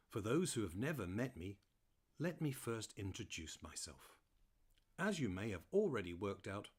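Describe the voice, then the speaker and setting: A man plays a playful terrier telling his own storybook tale. The voice is fairly low and rough-edged, with lots of swoop and variety. He comes across as a chatty, mischievous storyteller in an audiobook. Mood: chatty, friendly. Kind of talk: first-person audiobook narration.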